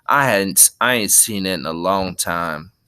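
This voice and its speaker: A man voicing a big, simple-minded, childlike man, eager and easily frightened, in slow, earnest, folksy speech for a story reading. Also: low-pitched, bright, forceful tone, clean tone, no echo, studio quality. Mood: sad.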